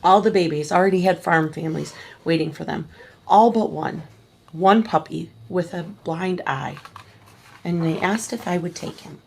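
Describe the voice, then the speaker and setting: A woman reading a children's picture book aloud at a church service, gently and slowly, with small restarts. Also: lowish voice, creaky, slight background noise. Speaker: story reader at a church service.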